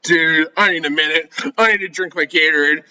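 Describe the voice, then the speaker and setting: Bright-voiced young man doing a let's play. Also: on the high side, a little fast, clear, resonant tone, low-quality recording. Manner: slangy, jumpy, talking to himself. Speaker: gaming video creator. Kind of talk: casual let's play, reading visual novel text aloud and reacting.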